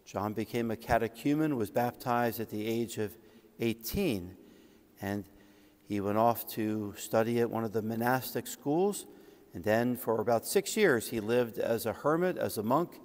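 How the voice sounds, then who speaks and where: A man's voice: medium pitch, measured pace, darkish tone. Man preaching in a reverberant church. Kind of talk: homily on a saint's life, with readings from writings. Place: large church sanctuary, at the ambo.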